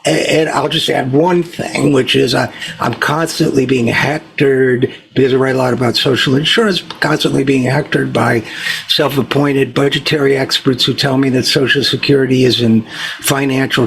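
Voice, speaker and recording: A man's voice: mid-range voice, vocal fry, fairly bright tone, poor audio. A man with an American accent hosting a talk interview in a conversational, discursive way, with hesitant asides. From United States, Utica, New York. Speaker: talk show host. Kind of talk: conversational interview with long setup question.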